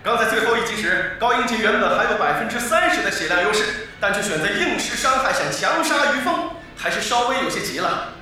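An actor playing an excitable esports commentator in an audio drama, with dramatic, emphatic play-by-play. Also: male voice, high-pitched, a little fast, few pauses, distant mic, full character voice. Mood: keen, analytical. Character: excitable male esports match commentator calling the action. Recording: echoey arena sound over loud music.

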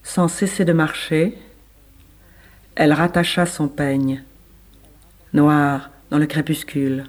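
A man reading literary prose as scripted narration, with measured, deliberate phrasing. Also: a little high, little pitch movement. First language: French. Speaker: reader of literary text.

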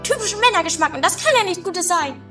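Casting: woman as anarchic nagging sister, very shrill-high register, snippy delivery, music bed behind. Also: expressive, quick pace, fairly bright tone. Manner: snippy, theatrical. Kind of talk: amateur comedy radio play acting.

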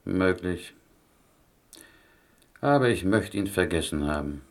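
Casting: meek, stubbornly logical underdog, German radio play, deep creaky voice, hesitant polite questioning. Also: male voice, expressive, slow, full character voice. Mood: thoughtful. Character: meek, stubbornly logical, melancholy male chess pupil.

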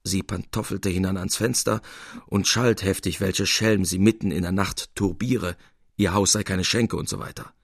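A man reading literary prose as a narrator, in a measured and expressive storytelling manner. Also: deep voice, gravelly, breathy edge, no room sound, clean studio sound.

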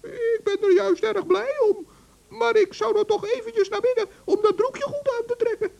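A woman voicing a flustered little male forest gnome in an old radio play, with a very high voice that stammers and frets. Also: steady tone, moderate pace, creaky, darkish tone, muffled recording. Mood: anxious. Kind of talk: 1960s radio play acting.

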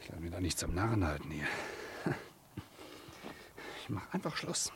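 A man's voice: sits low, big pitch swings, normal speed, background noise. Impatient, snappish young man. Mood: exasperated, suspicious. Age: young adult. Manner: curt, snappish, exasperated. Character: impatient, irritable young lover hiding his affair. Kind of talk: 1960s German radio play acting.

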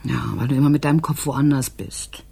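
A woman's voice: extremely low pitch, steady tone, fairly quick, gravelly, mellow, breathy edge, full character voice. A woman playing a practical, reproachful mother of two young daughters in a German radio play.

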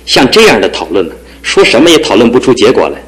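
A man's voice: medium pitch, big pitch swings, quick pace, a little hiss or hum, low-quality recording. An actor voicing a good-natured, joking young man who is a bit pampered, in a studio radio drama. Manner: jokey and warm-hearted, earnest when serious. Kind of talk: 1960s-70s studio radio drama acting.

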